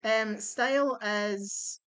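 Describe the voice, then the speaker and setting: A woman's voice: medium pitch, very slow, a bit bright. Chatty young woman ranking songs at home. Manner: chatty, blunt, swears and laughs easily. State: losing her voice. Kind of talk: casual chat. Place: at home at a computer.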